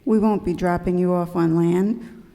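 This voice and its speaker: Man playing a bossy cowboy ship captain, mellow-toned. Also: high voice, fairly even intonation, measured pace, gravelly. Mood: ominous. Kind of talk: amateur live radio skit acting. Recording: echoey hall, amateur live recording.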